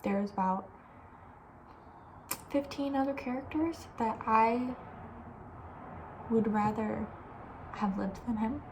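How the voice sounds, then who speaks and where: A woman speaking softly with breathy, near-whispered edges, chatting casually and rambling with playful opinions as an ASMR host. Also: fairly high pitch, slow, long pauses, slight background noise, low-quality recording. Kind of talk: soft-spoken ASMR casual chat.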